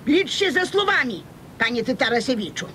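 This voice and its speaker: Pushy businesswoman, indignant manner, high pitch, eastern Polish accent, rumbly noisy recording. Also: sing-song, moderate pace, a bit bright. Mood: pushy, huffy. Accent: eastern Polish, Podlasie regional. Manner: indignant, bossy, dramatic. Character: pushy, huffy scheming businesswoman. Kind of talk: radio comedy serial acting.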